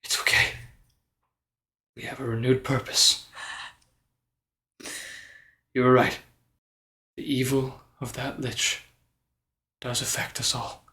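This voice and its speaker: A man's voice: mid-range voice, level tone, bright, forceful tone. Man playing an earnest bard adventurer, echoing room. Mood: consoling. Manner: earnest, halting, insistent. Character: remorseful, determined bard adventurer consoling a companion.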